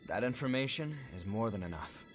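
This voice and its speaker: Man acting a calm, low-voiced young leader. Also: soft background music, lightly acted. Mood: calm, serious. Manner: measured, composed, serious. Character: calm, thoughtful, brainy young male leader. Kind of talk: English video game dub acting.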